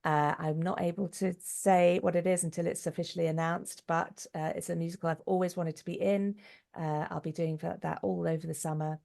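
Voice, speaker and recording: Chatty middle aged woman being interviewed, lowish voice w some gravel in it. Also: little pitch movement, brisk, a little mellow. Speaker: interview guest, actress and director. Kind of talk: casual interview chat.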